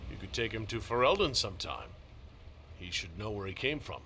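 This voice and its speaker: An actor playing a calm, kindly, modest leader in a video game. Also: male voice, lowish voice, fairly quick, slight background noise, heavily acted. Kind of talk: dramatic video game dialogue.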